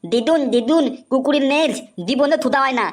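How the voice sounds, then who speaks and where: A woman's voice: sits high, a little fast, natural voice. A woman voicing a cheeky, indignant small grandson in a comic cartoon, with a childish lisp and babyish repetitive talk. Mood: cheeky, accusing.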